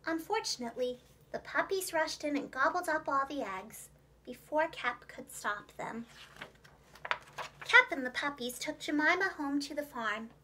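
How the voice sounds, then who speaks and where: A woman narrating a children's storybook in an expressive, clearly enunciated storyteller's manner for young listeners. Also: high voice, measured pace, a little hiss or hum, low-quality recording. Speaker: preschool teacher and children's storyteller.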